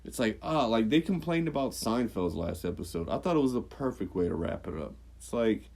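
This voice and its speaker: A man co-hosting a podcast, chatting casually, swearing freely and jumping into squeaky mock voices. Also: animated, fairly quick, darkish tone. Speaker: podcast co-host. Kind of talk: casual chat.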